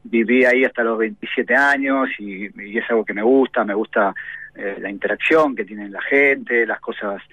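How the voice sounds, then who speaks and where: A man's voice: mid-range voice, moderate pace, slightly rough, no room sound. Argentine man talking on a tinny phone line. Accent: Argentine Spanish. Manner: thoughtful, hesitant, with many fillers and tag questions. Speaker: novelist guest. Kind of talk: radio interview conversation. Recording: tinny, phone-like, dry radio sound.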